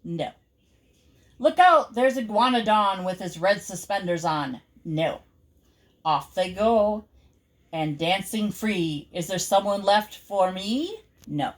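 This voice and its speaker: Older woman reading slowly to children. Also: medium pitch, expressive. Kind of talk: read-aloud children's verse storytelling.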